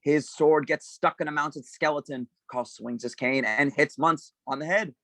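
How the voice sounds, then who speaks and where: Casting: male narrator for a script table read, average pitch, close dry sound. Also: moderate pace, pushed and bright, no room sound. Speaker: stage directions reader.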